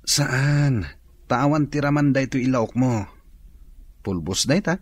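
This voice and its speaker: An actor playing a sly, plotting ferry crook in a radio drama. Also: male voice, mid-range voice, a little slow, dry recording, close to an everyday voice.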